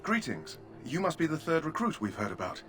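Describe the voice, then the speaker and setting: A man's voice: fairly high pitch, huge pitch swings, fairly quick, music in the background. A man voicing an earnest young male knight in a video game, speaking formally and a little stiffly. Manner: formal, old-fashioned, earnest. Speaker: game character.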